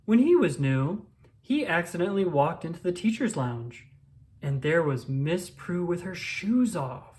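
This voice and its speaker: A storyteller reading a children's book aloud in an expressive, deliberate way, drawing out words. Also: mid-range voice. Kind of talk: storybook read-aloud, first-person child narrator.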